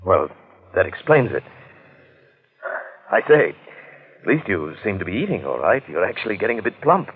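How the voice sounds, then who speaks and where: Affable, inquisitive London gentleman telling a strange tale; low, animated delivery, narrow old-radio sound. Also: moderate pace, long pauses, slight background noise. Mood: concerned. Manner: genteel, expressive, old-fashioned phrasing. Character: John Buchan, affable curious London businessman recounting his strange tale. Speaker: narrator and lead actor. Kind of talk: old-time radio drama acting and narration. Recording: muffled vintage radio drama recording.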